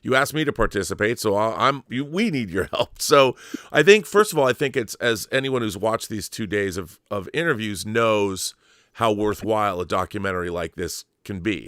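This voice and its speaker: A man's voice: fairly low pitch, lively intonation, normal speed, fairly bright tone, dry recording, studio quality. A man chatting casually and enthusiastically as an interviewer, earnest and emphatic, often repeating words as he restarts his sentences.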